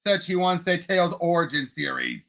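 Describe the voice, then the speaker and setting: A man co-hosting a casual movie discussion podcast, talking emphatically and excitably and jumping in often. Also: a little high, normal speed, gravelly. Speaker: podcast co-host.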